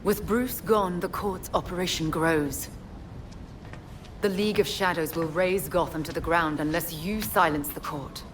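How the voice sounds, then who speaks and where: A woman performs a poised female assassin leader from a video game, her voice pitched low. She chooses formal, ornate words and delivers them deliberately, with cunning and cool menace. A clear low rumble sits behind her. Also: close to an everyday voice. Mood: grave, calculating. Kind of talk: video game cutscene acting.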